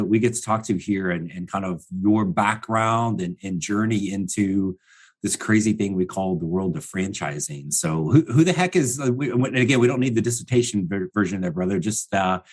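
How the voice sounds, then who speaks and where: A man hosting a business podcast interview, chatty and casual, with many fillers and restarts. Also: on the low side. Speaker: podcast host.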